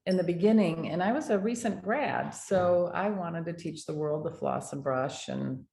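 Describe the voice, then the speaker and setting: An older American woman, a dental hygienist, telling animated stories in a casual interview. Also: lowish voice, normal speed, creaky. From United States. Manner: animated anecdotes with laughter. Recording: echoey room, faint noise.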